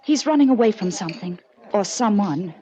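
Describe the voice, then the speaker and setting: A woman's voice: medium pitch, expressive, fairly quick, mellow, lightly acted. An actress playing a guarded, anxious, well-spoken woman in her twenties, earnest and hesitant, in a 1940s radio drama. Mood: guarded, worried. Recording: dull old 1940s radio recording.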